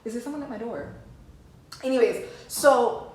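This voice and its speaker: A woman's voice: on the high side, normal speed, darkish tone, roomy sound, far from the mic, a little hiss or hum, rough recording. A young woman telling a story in a chatty, slangy way, talking straight to her viewers. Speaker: vlogger. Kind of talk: casual storytime chat to camera.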